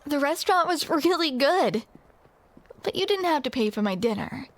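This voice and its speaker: A woman's voice: sits high, expressive, moderate pace, no room sound. A woman performing a scripted romantic audio roleplay, with playful, teasing banter, close to the microphone.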